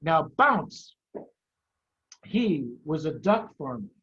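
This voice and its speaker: A middle-aged American man, a head of school, hosting an online story time and reading aloud to young children in an animated, emphatic way with dramatic pauses. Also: on the high side, slightly rough. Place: online video call. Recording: dull, muffled online video call.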